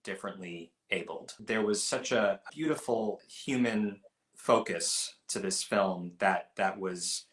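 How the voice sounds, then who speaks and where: Man, film podcast co-host, thoughtful, lowish voice, echoey room. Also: a bit bright. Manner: thoughtful, measured, with frequent restarts. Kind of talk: casual film review chat. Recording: roomy echo.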